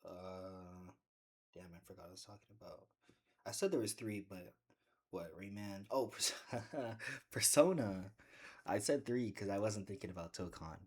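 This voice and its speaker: A man with a deep voice chatting casually in a gaming vlog, rambling and joking with bursts of excitement. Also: a little slow, husky. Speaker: gaming video creator.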